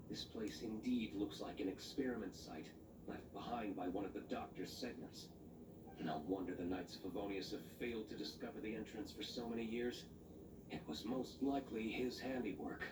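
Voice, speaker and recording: Man acting a lively-toned, composed knight investigator. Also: fairly low pitch, normal speed, lightly acted. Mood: analytical. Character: composed, observant adult male knight investigator in fantasy game. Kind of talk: scripted video game voice acting. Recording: echoey game audio with clear noise.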